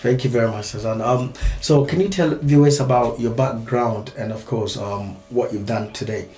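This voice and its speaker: A man's voice: mid-range voice, normal speed, mellow. Guy hosting a call-in show, friendly, lots of ums, echoey studio. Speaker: talk show host. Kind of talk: hosting a live phone-in talk show.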